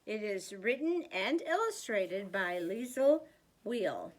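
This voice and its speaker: An older woman reads a children's storybook aloud like a grandmother, with deliberate, expressive emphasis for young listeners. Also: mid-range voice. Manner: slow, deliberate, animated emphasis.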